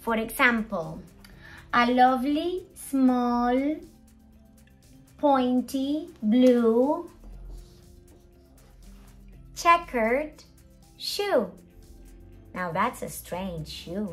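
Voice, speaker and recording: A middle-aged woman presenting an English grammar lesson as a teacher, expressive and emphatic, with deliberate enunciation and rhetorical questions. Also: high-pitched. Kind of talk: animated scripted grammar lesson. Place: small indoor room at a whiteboard.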